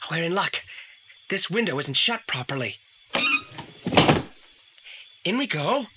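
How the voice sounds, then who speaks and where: A man's voice: a little high, big pitch swings, moderate pace. A precocious boy detective in an old audio play. He speaks formally and pedantically with complete self-assurance, over a faint layer of noise. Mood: hushed, purposeful. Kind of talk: 1980s audio drama acting. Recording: slightly noisy old audio play transfer.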